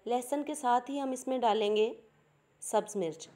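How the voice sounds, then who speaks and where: A woman's voice: a little high, moderate pace, warm tone. A woman with a Pakistani Urdu accent, hosting a cooking channel and patiently explaining each step of a home recipe.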